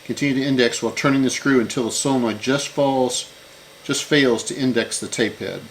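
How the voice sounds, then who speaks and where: A man's voice: medium pitch, fairly quick, slight background noise, rough recording. A man giving a casual hands-on repair walkthrough, unscripted and thinking aloud as he works. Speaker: repair channel host.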